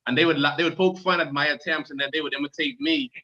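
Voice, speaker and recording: A man on a panel telling animated stories, laughing and repeating words. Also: a little high, fast, highs cut off, crowd noise. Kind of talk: panel discussion.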